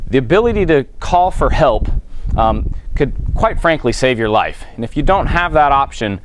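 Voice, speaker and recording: Male Coast Guard officer giving plain-spoken instruction, mid-pitched voice, recorded with noticeable ambient noise. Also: sing-song, moderate pace, low-quality recording. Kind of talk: instructional explanation to boaters. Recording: clear background noise, ambient sound.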